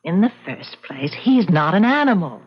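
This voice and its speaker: An actress playing a gentle, earnest, trusting wife in an old-time radio mystery, speaking in a soothing, deliberate way. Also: female voice, on the low side, very animated, slightly rough. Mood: gently insistent. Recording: dull, band-limited old radio recording.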